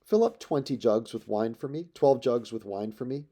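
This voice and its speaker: A man's voice: medium pitch, animated, moderate pace, warm tone, lightly acted. A man voicing a resolute young prince, earnest and commanding, in an audiobook of an epic. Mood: urgent, instructing.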